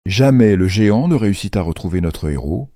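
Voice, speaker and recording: A man's voice: low voice, animated, a little fast, mellow, no echo, studio quality. A man narrating a children's fairy tale as a playful, theatrical storyteller. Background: music under the voice.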